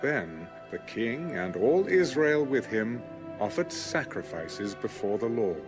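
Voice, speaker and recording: Low-pitched male scripture narrator over music. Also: big pitch swings, slow. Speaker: audio Bible narrator. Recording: music under the voice.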